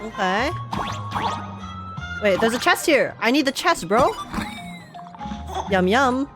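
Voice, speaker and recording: Unhurried, high-voiced young woman streaming a game. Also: music in the background. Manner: slangy, expressive, repeats phrases for effect. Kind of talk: live gameplay reaction, casual chat.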